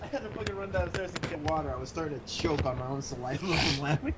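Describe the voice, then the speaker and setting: A man's voice: a little high, quick pace, a loud crowd. A man as a livestream guest, reacting in casual, sweary chat.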